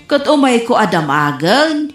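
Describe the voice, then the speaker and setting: A woman's voice: a little high, sing-song, a little slow. A woman playing a gossipy neighbor in an Ilocano comedy radio drama, speaking with an Ilocano accent. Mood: prying. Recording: roomy echo, faint music behind.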